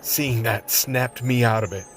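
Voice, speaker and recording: A man's voice: medium pitch, measured pace, soft background music, close to an everyday voice. A man playing a tough survivor who narrates his fight with vampires in a first-person radio play. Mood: grim, steady narration.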